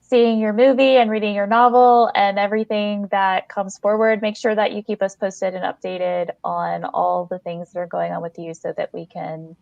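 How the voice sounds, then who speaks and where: A woman's voice: mid-range voice, little pitch movement, moderate pace, creaky, hardly any pauses, darkish tone, lo-fi sound. A woman co-hosting a podcast in casual chat, speaking in an effusive, encouraging way. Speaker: podcast co-host. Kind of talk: casual interview chat with plugs.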